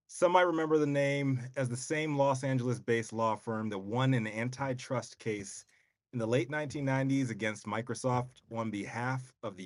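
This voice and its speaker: A man of middle pitch presenting a news segment in a casual, joking way, dropping slang between facts. The recording is dry and close. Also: no echo. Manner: reads the story with wry asides and slang.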